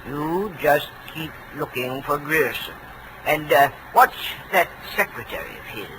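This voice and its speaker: A man's voice: a little high, lively intonation, measured pace, fairly bright tone. A man playing a jovial, slick, pompous lawyer in 1940s radio style, with florid, hemming, old-fashioned speech. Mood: breezy, scheming. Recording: noisy vintage radio recording.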